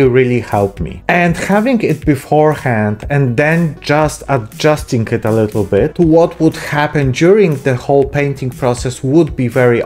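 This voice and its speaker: A man's voice: mid-range voice, darkish tone. A man in his thirties narrating a miniature painting vlog as the channel's host.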